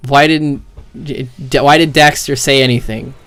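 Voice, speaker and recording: A man with a mid-pitched voice talks as a streamer reacting to game cutscenes. He is blunt and opinionated, swears freely, and speaks casually and off the cuff. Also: steady tone, normal speed, a bit bright. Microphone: close mic with gain set very high.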